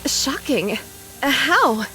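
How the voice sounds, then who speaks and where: A woman's voice: sits high, big pitch swings, takes it slowly, sharp tone, soft background music, exaggerated voice, poor audio. A woman voice-acting a proper, saintly young woman in a video game, earnest, polite and precise in her way of speaking. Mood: hesitant, puzzled.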